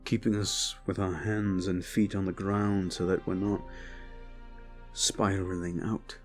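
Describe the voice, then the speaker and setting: Fantasy audio drama: earnest, tired knight-captain played by a man, subdued and deep-pitched, gently halting. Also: little pitch movement, soft background music. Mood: grateful, weary. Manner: subdued, sincere, hesitant. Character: weary, earnest male human knight-captain.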